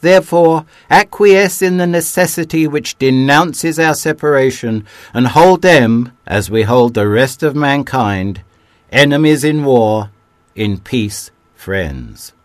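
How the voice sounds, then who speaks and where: A man giving a measured, declamatory reading of a historic document, dropping low at the ends of phrases. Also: no room sound. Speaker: reader.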